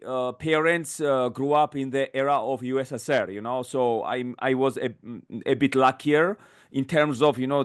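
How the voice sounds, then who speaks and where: A man's voice: medium pitch, smooth. A man in his thirties from Georgia, a native Georgian speaker, answering as a podcast guest in English with many fillers and restarts. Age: 30s. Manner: fluent but halting, many fillers and restarts. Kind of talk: podcast interview.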